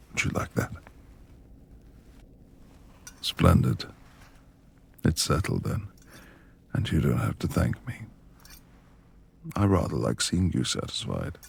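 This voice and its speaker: A man playing a reclusive, brooding lord of a manor in an intimate ASMR romance roleplay, speaking formally and haltingly in a gravelly, husky, hushed voice. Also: very deep voice, heavily acted. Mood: gentle, courteous. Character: reclusive brooding male manor lord, tender beneath gruff exterior.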